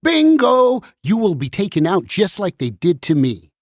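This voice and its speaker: A woman's voice: mid-range voice, very animated, moderate pace, a little mellow, no room sound, clean studio sound. A woman playing a frantic, world-weary banned book in a musical puppet show, urgent and dramatic as it warns and interrogates. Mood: grim, dramatic.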